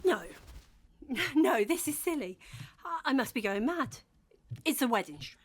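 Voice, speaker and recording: A woman's voice: high-pitched, very animated, unhurried, full character voice. An actress playing a flustered, hesitant bride in her thirties in a comedic audio drama. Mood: overwhelmed. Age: 30s.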